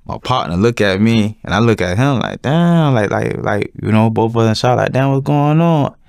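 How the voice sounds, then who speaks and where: A young man answering interview questions casually, with slangy, halting, repetitive phrasing. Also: medium pitch, lively intonation, creaky, a little mellow, no echo, clean studio sound. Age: young adult.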